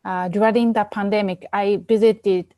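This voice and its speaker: A woman from Japan presenting her zines on a video call, speaking carefully and softly with pauses. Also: medium pitch, fairly even intonation, dry recording, clean studio sound. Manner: careful, soft-spoken, with pauses. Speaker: zine exhibitor.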